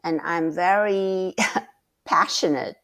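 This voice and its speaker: A middle-aged woman giving advice as a mentor, talking slowly and thoughtfully, often repeating words as she searches for them. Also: on the low side, steady tone. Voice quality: loud, passionate voice. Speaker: guest mentor. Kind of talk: casual advice talk.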